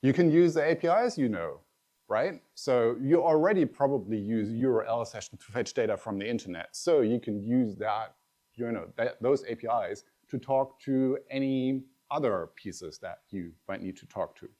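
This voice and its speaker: A man whose first language is German gives a tech conference talk at an even, middle pitch. He speaks to the room in a lively, conversational way and turns to the audience with questions. He sounds middle-aged. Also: moderate pace.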